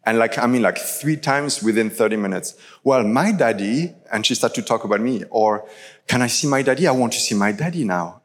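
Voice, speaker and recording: A man's voice: mid-range voice, expressive, brisk. A man in his thirties, originally from France, with a French accent, preaching a chatty live sermon full of fillers. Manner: casual, chatty storytelling with many fillers. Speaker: pastor. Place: large church hall. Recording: live church recording with long hall reverb.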